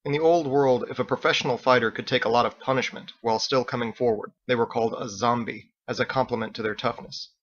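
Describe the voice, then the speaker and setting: A man's voice: medium pitch, fairly even intonation, fast, hardly any pauses, a bit bright, highs cut off. A man reading his own story as a scripted audiobook narration.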